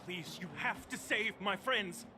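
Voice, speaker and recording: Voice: mid-range voice, measured pace, background noise, close to an everyday voice. An actor voicing a panicked, pleading young townsperson in a video game, stammering, breathless and desperate. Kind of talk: scripted video game voice acting.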